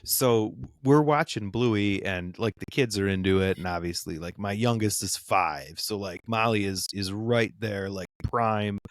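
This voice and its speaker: A man's voice: on the low side, measured pace, few pauses, no room sound, clean studio sound. A middle-aged father co-hosting a podcast, chatting casually, teasing his co-host and laughing often. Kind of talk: casual chat.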